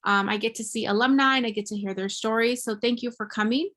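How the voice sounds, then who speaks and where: A woman hosting an online panel informally, speaking in a halting, enthusiastic way as if to participants on a video call. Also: fairly high pitch, steady tone, a bit bright. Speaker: panel host, career office staff. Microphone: computer mic on video call.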